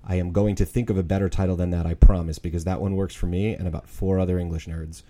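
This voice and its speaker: Wry podcast host, lowish voice. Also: male voice, flat delivery, fast, mellow, reverberant. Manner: upbeat, wry, many fillers. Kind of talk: podcast intro then interview. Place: large conference room with windows.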